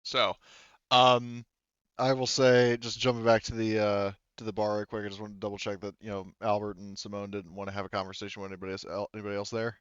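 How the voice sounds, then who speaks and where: Smooth-voiced male game master, slightly muffled. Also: fairly low pitch, monotone, fast, a bit bright, dry recording. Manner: rambling, with many false starts. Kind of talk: casual narrating of a tabletop roleplaying game.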